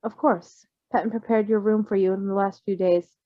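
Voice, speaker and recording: A woman voicing a calm, precise and quietly caring man with a formal, careful manner in a story reading. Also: medium pitch, normal speed, dry recording, muffled recording, close to an everyday voice. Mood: gentle, reassuring.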